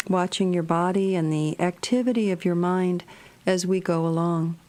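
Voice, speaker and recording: A woman narrating a guided meditation in a gentle, measured, soothing manner. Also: fairly low pitch.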